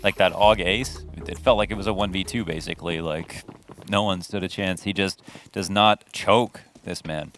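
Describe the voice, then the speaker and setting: A man's voice: low voice, moderate pace, fairly bright tone, a little hiss or hum. A man giving conversational colour commentary and analysis on a live esports match.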